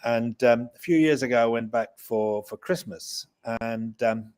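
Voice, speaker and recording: A man's voice: medium pitch, measured pace, a little mellow, smooth. A man from Croatia, a guest telling an anecdote hesitantly with many fillers and dry humor. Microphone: video call.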